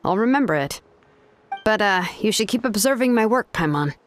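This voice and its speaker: A woman voicing a laid-back, expert female blacksmith in a game cutscene, talking in a relaxed, offhand way with frequent "uh"s. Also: medium pitch, normal speed, lightly acted. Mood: amused. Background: game background music.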